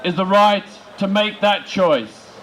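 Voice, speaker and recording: A man making an emphatic protest speech outdoors, in short, deliberate rhetorical phrases. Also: high-pitched. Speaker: political campaigner speaking at a rally. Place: large open-air city square rally. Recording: outdoor public address with background noise.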